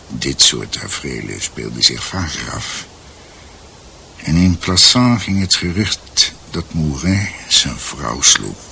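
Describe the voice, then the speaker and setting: An actor playing a grave, deliberate storyteller recounting family troubles in a radio drama. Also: male voice, very deep voice, creaky, bright, forceful tone, slight background noise, exaggerated voice. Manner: measured, deliberate.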